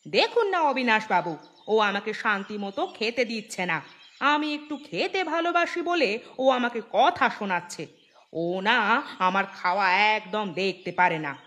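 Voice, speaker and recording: A woman playing a gluttonous, quarrelsome village wife in a cartoon, sharp-tongued and quick to take offence. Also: mid-range voice, expressive. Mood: complaining. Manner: scolding, indignant. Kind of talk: Bengali cartoon dialogue. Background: prominent background music.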